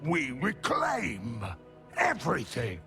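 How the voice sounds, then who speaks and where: A man voicing a possessive, hungry demon spirit in a video game, with a strained, harsh voice and slow, fragmented delivery. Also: fairly high pitch, wildly swooping pitch, creaky, bright, forceful tone, faint music behind, heavily acted. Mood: fierce, possessive.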